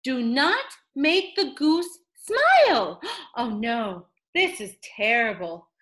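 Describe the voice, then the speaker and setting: A woman's voice: high voice, sing-song, slow, fairly bright tone. A woman reading a picture book aloud in a theatrical storytelling style, addressing a child listener with dramatic emphasis.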